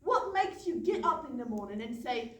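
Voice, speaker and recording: A woman's voice: on the high side, sing-song, moderate pace. A woman playing an earnest, anxious and dutiful castle guard, easily exasperated, in a staged reading of a comic play. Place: studio theatre. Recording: echoey theatre, distant mic, clear noise.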